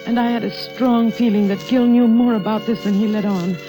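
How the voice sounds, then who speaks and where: An actress playing a polite, uneasy hostess in earnest 1940s radio drama style. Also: female voice, a little high, fairly even intonation, brisk, lightly acted, poor audio. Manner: placating, earnest. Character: polite, uneasy hostess narrating a suspense tale. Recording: old radio drama, loud music behind.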